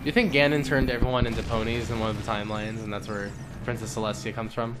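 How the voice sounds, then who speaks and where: A man's voice: fairly low pitch, flat delivery, fast talker. A man giving casual, teasing commentary as a co-host on a video game playthrough. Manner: teasing, wry. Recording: game music clearly behind the voice. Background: video game music.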